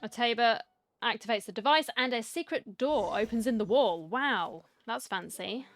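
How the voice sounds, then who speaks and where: A woman's voice: fairly high pitch, normal speed, fairly bright tone, faint music behind. A woman giving casual playthrough commentary as a streamer, with chatty asides and game text read aloud. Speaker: gaming streamer.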